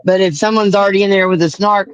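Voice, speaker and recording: A man's voice: high voice, fairly even intonation, quick pace, a bit bright, smooth, no room sound, muffled recording. A man co-hosting a casual livestream panel, giggly and rambling, often restarting his sentences. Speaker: co-host.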